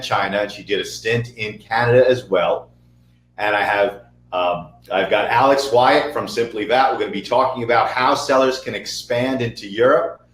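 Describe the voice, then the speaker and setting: Man, webinar host, chatty, jokey, mid pitch, echoey call. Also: fairly bright tone. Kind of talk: webinar interview. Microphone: video call.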